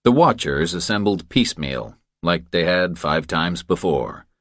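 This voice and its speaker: A man's voice: low-pitched, expressive, measured pace, fairly bright tone, no echo, studio quality. A man reading a crime thriller aloud as scripted audiobook narration.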